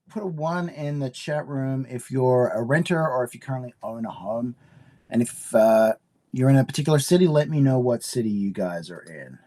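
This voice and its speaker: A middle-aged man from Australia hosting a live home-buying webinar, upbeat and chatty with frequent fillers, a little hoarse from a cold. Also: medium pitch, a little mellow.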